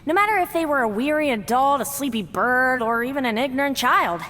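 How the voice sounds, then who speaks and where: A bright, sing-song talking flower. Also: female voice, high-pitched, lively intonation, brisk, big-room sound. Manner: sing-song, theatrical and friendly. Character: cheerful female talking flower that sings. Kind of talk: video game voice acting.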